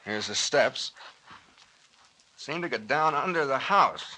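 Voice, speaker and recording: An actor playing a commanding, wry, tough captain who gives clipped orders and wisecracks in old-time radio style. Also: male voice, medium pitch, animated, takes it slowly. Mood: brisk, commanding. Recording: noisy old radio recording with clicks.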